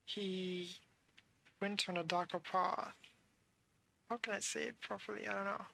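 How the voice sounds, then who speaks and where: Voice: medium pitch, steady tone, measured pace, creaky, bright, forceful tone, slight background noise. A game streamer giving casual playthrough commentary, musing and thinking aloud.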